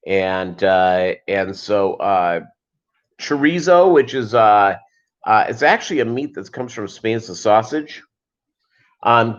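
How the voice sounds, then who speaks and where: A man with a mid-range voice hosts a casual livestream. He chats haltingly to viewers and keeps wandering off into asides. The sound is dull and lo-fi, like basic stream audio. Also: medium pitch. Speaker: livestream host.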